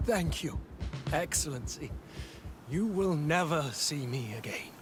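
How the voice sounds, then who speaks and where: A man's voice: on the high side, expressive, a little slow, music in the background. A cold, ruthless henchman officer. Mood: submissive. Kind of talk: dramatic video game acting.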